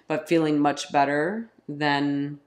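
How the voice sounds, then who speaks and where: A woman in her forties, a bit raspy from a cold, chatting casually with ums on a home vlog from her couch. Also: deep voice, slow pace. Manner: relaxed, chatty storyteller with ums. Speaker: vlog co-host. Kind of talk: casual chat. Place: living room, on a couch. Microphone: camera in front.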